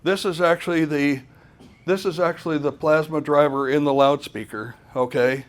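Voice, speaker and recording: A man presenting an informal technical talk at a conference, speaking haltingly and often repeating words as he goes. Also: fairly high pitch, fairly even intonation.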